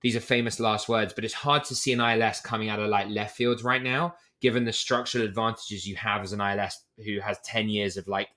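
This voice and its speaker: A British man with a lowish, bright and forceful voice, speaking as an interview guest. He explains things candidly and at length, dotting his speech with casual fillers. It sounds like a relaxed podcast conversation. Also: a little fast, few pauses. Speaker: podcast guest, startup CEO.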